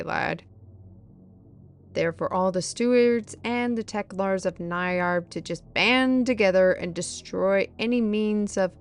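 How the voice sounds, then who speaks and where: A woman's voice: medium pitch, smooth. A woman playing a knowledgeable fantasy companion, explaining lore in an earnest, wordy way in an audio roleplay.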